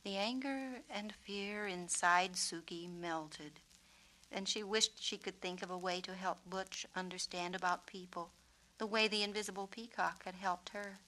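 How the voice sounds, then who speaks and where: A woman reading a children's storybook as narrator, with a measured, expressive delivery. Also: mid-range voice, poor audio. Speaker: author and narrator.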